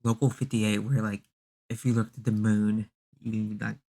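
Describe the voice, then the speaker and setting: A man with a fairly low, creaky voice reacts live as a streamer. He sounds scared and on edge, speaking in halting, casual bursts full of slang and swearing. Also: flat delivery, mellow. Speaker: streamer reacting to a horror video.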